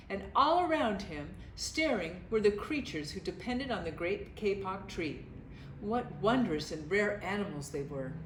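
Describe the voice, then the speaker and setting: Expressive woman reading, echoey room. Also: mid-range voice. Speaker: storybook reader. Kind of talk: expressive children's story read-aloud. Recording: echoey room with faint noise.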